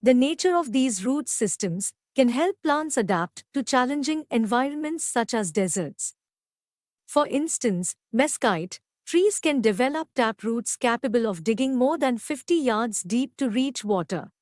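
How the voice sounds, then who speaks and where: A woman reading scripted academic passages aloud in a measured, careful way, as a model for exam practice. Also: on the high side, animated, a little mellow, no echo, studio quality.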